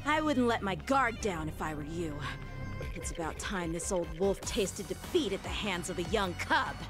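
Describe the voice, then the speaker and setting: Woman voicing a lively, defiant young swordsman. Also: on the low side, normal speed, lightly acted. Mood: cocky defiance. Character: cocky, determined young swordsman boy. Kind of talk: video game voice acting. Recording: loud game music over the voice.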